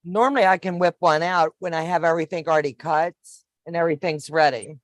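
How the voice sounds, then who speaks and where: A woman in her fifties hosting a sewing livestream, chatting warmly with viewers and explaining each step as she works. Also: fairly low pitch, fairly quick, no room sound. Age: 50s. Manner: homey, calls viewers baby, narrates each step. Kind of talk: casual sewing demonstration chat.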